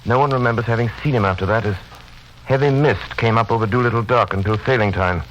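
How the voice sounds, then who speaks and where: An actor in a 1930s radio drama playing a sober man who gives a measured, formal and dramatic report. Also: medium pitch, a bit bright, natural voice. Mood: sober, ominous. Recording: muffled, hissy vintage radio recording.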